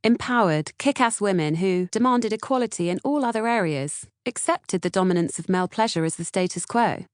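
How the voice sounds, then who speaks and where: A woman's voice: medium pitch, animated, brisk, no echo, studio quality. A woman with a British accent reading scripted audiobook narration. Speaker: author-narrator reading audiobook, podcast co-host.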